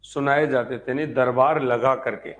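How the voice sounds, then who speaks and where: A man's voice: mid-range voice, steady tone, moderate pace. A man teaching an exam-prep history lecture, explaining step by step, repeating phrases as if dictating and asking check questions.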